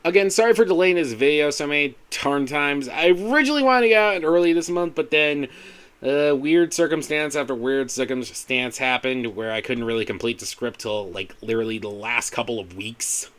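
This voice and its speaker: A man hosting a video countdown, delivering scripted commentary with self-deprecating, jokey asides in an animated manner. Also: mid-range voice, moderate pace, distant mic. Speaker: host of a video countdown show.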